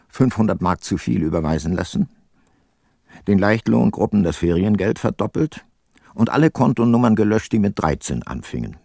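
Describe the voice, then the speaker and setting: Radio drama private eye, middle aged, low creaky voice, wry and laconic. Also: male voice, a little mellow, no echo, full character voice. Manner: dry, laconic, ironic. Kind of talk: radio drama narration and dialogue.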